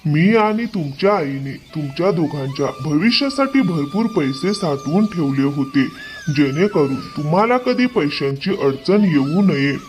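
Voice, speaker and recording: A man as dying elderly father, cartoon moral tale, high swooping voice, earnest, caring, music behind. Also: animated, unhurried, poor audio. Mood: weak, earnest.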